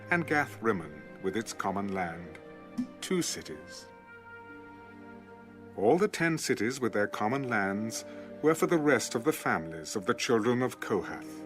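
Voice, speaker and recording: A man with a lowish voice reads scripture in a measured recitation, moving through lists of names. His pitch rises and falls in a lively way. Music plays clearly underneath him. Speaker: audio Bible narrator. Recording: music bed under the reading. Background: background music.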